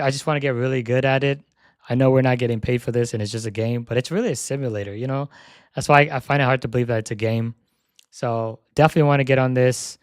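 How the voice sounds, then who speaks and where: A young man live-streaming a flight sim session, chatting casually and thinking aloud as he sets up, his voice slightly hoarse. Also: medium pitch, no echo, clean studio sound. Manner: repeats "yep" while checking items.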